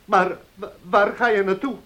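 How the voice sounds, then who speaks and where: An actor playing a stern, pious old uncle in a Dutch radio play, speaking in a solemn, emphatic way. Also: male voice, sits high, fairly even intonation, moderate pace, sharp tone, a little hiss or hum. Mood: indignant, commanding. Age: older.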